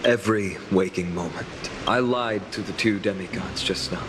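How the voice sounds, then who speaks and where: An actor playing an earnest young male hero in a game, sincere and reflective, with quiet self-doubt. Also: sits low, normal speed, background noise, lightly acted. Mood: pensive. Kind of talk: dramatic video game dialogue.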